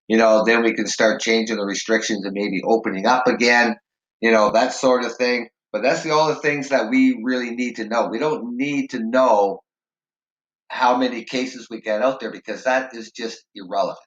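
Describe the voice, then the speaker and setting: A man's voice: medium pitch, fairly even intonation, normal speed, a bit bright, roomy sound, lo-fi sound. A man from Canada hosting a casual call-in show, talking in a rambling way with lots of fillers while nursing a sore, raw throat. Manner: rambling, many fillers and restarts. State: sore, raw throat, holding back a cough. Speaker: show host.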